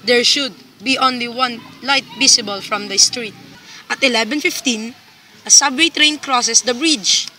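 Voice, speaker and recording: A woman's voice: fairly high pitch, measured pace, pushed and bright, low-quality recording. A woman playing a cold-blooded crime boss in an amateur radio play, giving methodical, commanding orders. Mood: businesslike.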